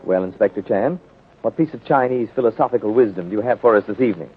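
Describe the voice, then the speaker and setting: A man's voice: lowish voice, fast talker, over loud music. A man announcing a 1930s radio mystery serial in a formal presenter's style. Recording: noisy, clicking, tinny 1930s radio recording.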